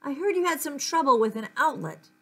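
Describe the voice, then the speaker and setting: A woman's voice: high voice, lively intonation, fairly quick, creaky, voice pushed up. A woman voicing a creepy, suspicious male school janitor in a storybook reading. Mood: matter-of-fact.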